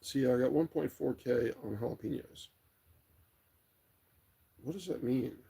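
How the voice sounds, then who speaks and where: A middle-aged man with a raspy, tired late-night voice, hosting a livestream in a rambling, relaxed way as he chats and reads comments aloud. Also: mid-range voice, measured pace. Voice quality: raspy, gravelly. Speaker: livestream host. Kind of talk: casual chat, reading viewer comments aloud.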